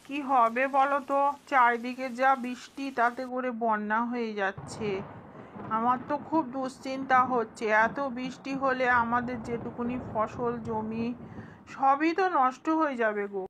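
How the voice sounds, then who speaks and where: A woman's voice: fairly high pitch, steady tone, normal speed, background noise, lightly acted. A woman voicing a caring, anxious farmer's wife in a cartoon folk tale. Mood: worried.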